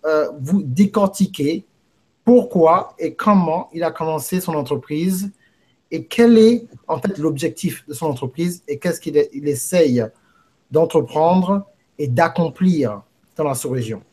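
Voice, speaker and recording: A man with a husky, somewhat high voice hosts an interview. He talks with eager enthusiasm and stretches his praise. Effusive, with frequent exclamations. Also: expressive, a little slow, a little mellow. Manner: effusive, exclaims wow often. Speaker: interview host.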